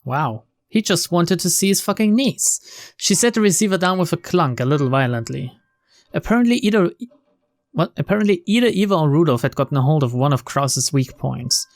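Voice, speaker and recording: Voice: mid-range voice, lively intonation, a little fast, no room sound. A let's player reading visual novel narration aloud, breaking off for informal, sweary asides. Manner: sweary, informal reactions between read lines.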